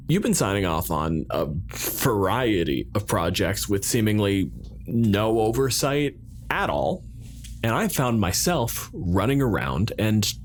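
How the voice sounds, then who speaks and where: A man playing a measured, bureaucratic colonial president in roleplay, speaking formally with deliberate, pointed pauses. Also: fairly low pitch, slight background noise. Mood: stern, measured. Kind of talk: improvised roleplay dialogue.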